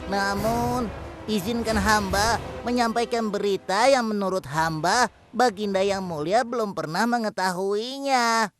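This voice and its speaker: A woman's voice: mid-range voice, a little slow, natural voice. A woman voicing an earnest, humble young hoopoe bird messenger in a children's cartoon, with an exclamatory delivery. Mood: humble, deferential. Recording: loud music behind the voice.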